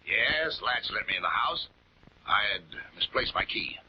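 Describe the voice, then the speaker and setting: A man's voice: lowish voice, animated, unhurried, husky, heavily acted. An actor playing an evasive, defensive male murder suspect in a 1940s television crime drama. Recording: dull, noisy 1940s film soundtrack.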